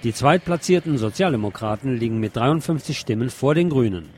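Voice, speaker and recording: A man's voice: mid-range voice, expressive, a little fast, over loud music. A man presenting radio news, reading from a script in German news style.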